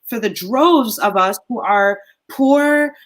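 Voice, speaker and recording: A woman's voice: a little high, slow. Woman hosting a live talk show. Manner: reads viewer comments then gives her own view.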